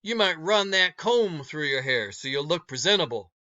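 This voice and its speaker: A man voicing a stern but kind, motherly older woman in a storybook read-aloud, scolding in a folksy, emphatic, drawn-out way. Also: a little high, animated, sharp tone, dull audio. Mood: frank, firm.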